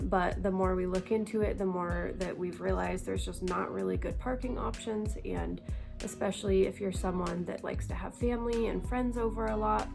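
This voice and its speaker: A young woman with a congested, stuffy voice explains things to her viewers in a chatty, informal way, dropping plenty of ums. Her tone has a gravelly, creaky edge. Music plays clearly behind her. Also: medium pitch. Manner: chatty, frequent ums, addresses viewers directly. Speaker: realtor. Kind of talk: casual educational vlog.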